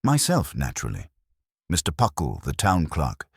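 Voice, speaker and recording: Mayor done by a male narrator, low voice with jumpy intonation, anxious and over-precise, for storybook mystery. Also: wildly swooping pitch, moderate pace, husky, no echo, clean studio sound, heavily acted. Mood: flustered, guarded. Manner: nervous, over-rehearsed, precise. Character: nervous, fussily precise small-town male mayor.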